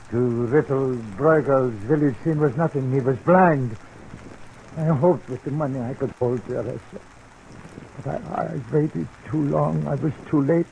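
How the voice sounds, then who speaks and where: A man's voice: mid-range voice, vocal fry, slight background noise. Anguished father, dull old radio recording. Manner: halting, solemn, impassioned. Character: anguished, wronged immigrant father, proud art forger. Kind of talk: 1950s radio drama acting.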